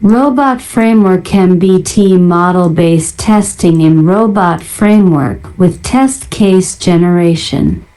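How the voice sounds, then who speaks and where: A woman narrator doing a deliberate ASMR-style read-out of listed items in a flat manner. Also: fairly low pitch, low-quality recording. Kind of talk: ASMR reading of a list of software libraries.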